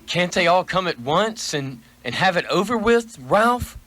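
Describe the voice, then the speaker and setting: A man's voice: high voice, very animated, unhurried, sharp tone, no echo, exaggerated voice. A man playing a frightened, pleading miser in a radio play, theatrical and prone to stammering. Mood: timid, reluctant. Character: frightened, pleading miserly businessman facing a ghost.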